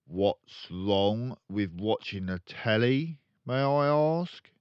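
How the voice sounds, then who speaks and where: A man voicing a crooked, boastful, bullying middle-aged father in a children's storybook, loud and emphatic. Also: lowish voice, expressive, slow, clean tone, dry recording, lo-fi sound, pitched above the performer's own voice. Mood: angry, bullying. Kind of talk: children's storybook read aloud.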